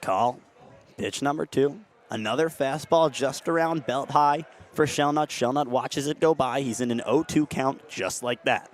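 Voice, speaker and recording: A young man calling a game as a radio play-by-play announcer, steady between plays and rising to excited shouts on big hits. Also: medium pitch, a little slow. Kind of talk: radio sports play-by-play commentary.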